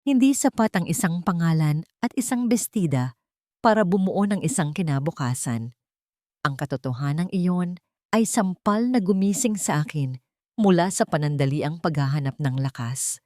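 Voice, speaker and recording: A woman with a Filipino accent narrating a scripted first-person drama in measured, deliberate phrases. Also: fairly low pitch, expressive, mellow, no room sound, studio quality. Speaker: story narrator.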